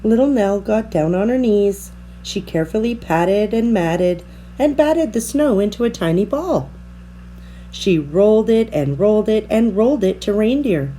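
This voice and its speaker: A woman narrates a children's picture book in a mellow voice. Her pace is slow and deliberate, and her pitch moves in lively, expressive swings. There is some faint noise behind her. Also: poor audio. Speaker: storybook reader. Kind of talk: children's read-aloud narration.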